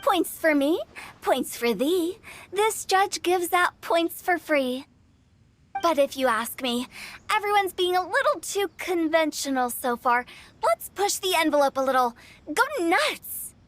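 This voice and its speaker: A woman's voice: very high voice, lively intonation, unhurried, fairly bright tone, full character voice. A woman voicing a mischievous, brash teenage girl in a video game, theatrical and teasing. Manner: theatrical, sing-song, cheeky.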